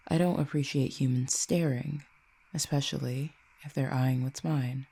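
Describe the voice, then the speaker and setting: Casting: ancient cupid for audio roleplay, possessive and haughty, dark mellow tone with gravelly fry, deliberate teasing menace performer acting it out. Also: medium pitch. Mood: cold menace. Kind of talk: scripted audio roleplay, acted monologue.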